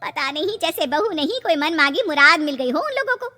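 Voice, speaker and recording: A woman voicing a middle-aged mother in an animated story, admonishing her married daughter in a scolding manner. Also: high-pitched, a little fast, full character voice. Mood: admiring.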